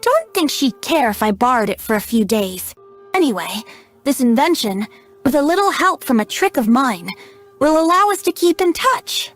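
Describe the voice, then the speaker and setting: High, lilting boyish bard. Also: female voice, big pitch swings, normal speed, a bit bright, soft background music, heavily acted. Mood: playful, earnest. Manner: lilting, poetic, teasing. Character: youthful male bard, playful, sly, theatrical. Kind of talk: English video game voice acting.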